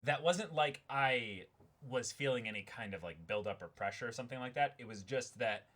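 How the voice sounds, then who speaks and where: A man talking casually and excitably with friends, as host of a manga reading stream. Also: mid-range voice, a little fast. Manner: animated, excitable. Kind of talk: casual chat between acted manga lines.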